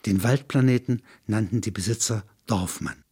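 A man's voice: lowish voice, normal speed, mellow, slightly rough. A man narrating a satirical science fiction radio play as a sober chronicler.

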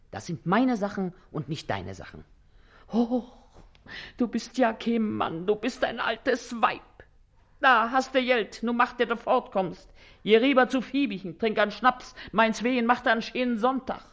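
An actress playing a crafty, middle-aged washerwoman with a sharp tongue and a folksy, emphatic manner in a theatrical dialect comedy. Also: fairly high pitch, expressive, moderate pace. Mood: scolding, bossy. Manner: folksy, emphatic, wheedling with betters.

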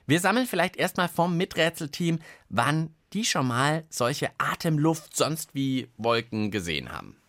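A man co-hosting a science show for children in a lively, chatty way. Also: on the high side, measured pace, no echo, clean studio sound. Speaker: children's science podcast host.

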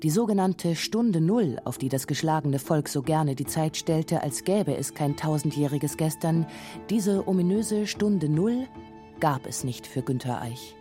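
Mellow, low-pitched female documentary narrator. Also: expressive, measured pace, soft background music. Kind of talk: scripted radio feature narration.

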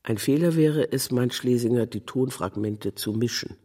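A man reads a literary narrative in with measured, deliberate phrasing. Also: mid-range voice, warm tone, breathy edge. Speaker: narrator, reader of a literary text.

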